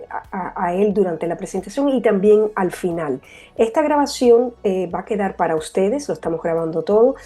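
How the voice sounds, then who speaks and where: Mellow-voiced woman moderating a webinar. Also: on the low side. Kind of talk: semi-formal introduction.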